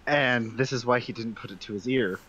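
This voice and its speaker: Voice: medium pitch, brisk, fairly bright tone, dull audio. A player in a tabletop game, casually improvising narration of what their character does. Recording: slight background noise.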